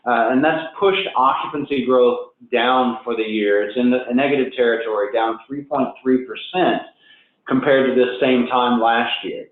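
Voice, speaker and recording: A man with a cold gives an upbeat business webinar, sounding a bit hoarse and nasal, with plenty of little fillers and restarts. His voice comes through a tinny, phone-like line. The audio is drenched in heavy echo. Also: mid-range voice, moderate pace. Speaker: webinar presenter.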